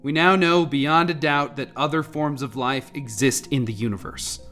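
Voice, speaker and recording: A man's voice: medium pitch, normal speed, bright, forceful tone, soft background music, natural voice. A man acting in an audio drama as a composed, earnest scientist who explains things precisely. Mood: sober. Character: composed, earnest male scientist on a crisis team.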